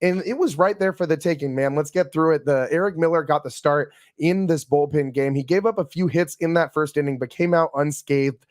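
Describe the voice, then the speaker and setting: Man, podcast host, raspy worn voice, chatty with fillers, slightly high, dry close sound. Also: a little fast, hardly any pauses, no room sound. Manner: chatty, frequent restarts and fillers. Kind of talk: casual sports podcast recap.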